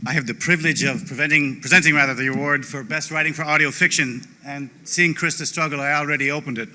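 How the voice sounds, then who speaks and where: A man's voice: a little high, steady tone, fast, bright, forceful tone. A man presenting an award in a casual, joking manner. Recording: clear background noise.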